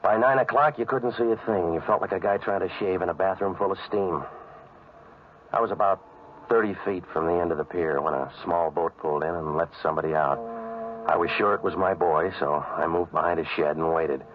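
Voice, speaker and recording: Low male voice actor, American, cynical hardboiled noir narrator, deadpan sarcasm, muffled noisy old radio recording. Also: a little fast, close to an everyday voice. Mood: brooding narration. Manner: sardonic, clipped, deadpan wisecracks. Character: cynical wisecracking hardboiled waterfront odd-job man, narrating.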